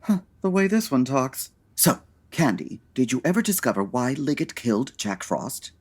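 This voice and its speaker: Give me a husky, theatrical, fussy dandy. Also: male voice, mid-range voice, big pitch swings, moderate pace. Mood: haughty, put out. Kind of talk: comedy audio drama.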